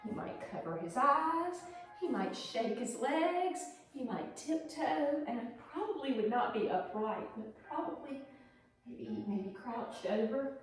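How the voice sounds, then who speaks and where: A woman's voice: fairly high pitch, lively intonation, a little slow. A woman teaching a pantomime and ballet lesson on video, demonstrating as she talks with dramatic emphasis. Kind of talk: instructional video dance lesson. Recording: echoey room with music.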